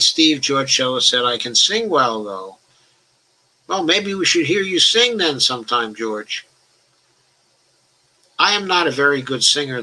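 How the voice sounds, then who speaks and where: A man's voice: medium pitch, bright, forceful tone, a little hiss or hum, low-quality recording. An older man hosting a casual live-stream talk, reading out viewer comments and answering, with occasional stutters and chuckles. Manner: talks about his own stutter, some restarts. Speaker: host of a political discussion show.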